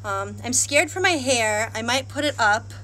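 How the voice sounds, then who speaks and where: A woman's voice: fairly high pitch, lively intonation, moderate pace, sharp tone, slight background noise, poor audio. A woman live-streaming casual chat while she cooks and climbs stairs, a little out of breath, asking viewers questions. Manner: chatty, self-deprecating, asks viewers questions. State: panting from heat and stairs. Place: house and outdoor stairs and terrace.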